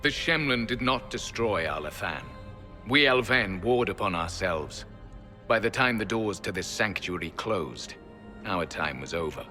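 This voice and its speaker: Game elf sentinel: proud, solemn, archaic diction, lowish pitch, faint music behind. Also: male voice, lively intonation, sharp tone. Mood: grave, weary. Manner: formal, archaic, measured phrasing. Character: proud, weary, ancient elven sentinel, stern and solemn. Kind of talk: dramatic video game acting. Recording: long hall reverb, music underneath.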